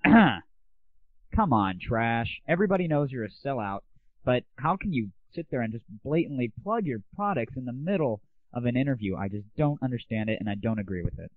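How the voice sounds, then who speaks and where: A man's voice: medium pitch, lively intonation, normal speed, no echo. An American man hosting an online audio show, ranting in a brash, sarcastic, mocking way. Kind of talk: irreverent solo show, ranting commentary. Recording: dry, band-limited lo-fi voice.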